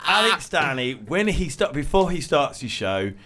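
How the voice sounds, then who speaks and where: Jokey radio co-host. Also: male voice, on the high side, big pitch swings, normal speed, bright, forceful tone. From north of England. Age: middle-aged. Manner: jokey, rambling storyteller. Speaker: radio co-host, station boss. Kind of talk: casual radio banter. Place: radio studio. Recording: music playing behind.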